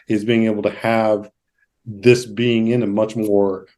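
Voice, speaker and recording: A man's voice: on the low side, flat delivery, unhurried, a little mellow. A man hosting a conversational podcast interview, thinking aloud in meandering, thoughtful phrases. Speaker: podcast host.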